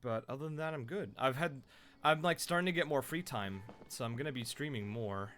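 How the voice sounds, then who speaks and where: Loud, chatty male streamer. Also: medium pitch, fairly quick, soft background music. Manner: loud, chatty, rambling with fillers. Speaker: streamer and voice actor. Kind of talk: casual live-stream chat.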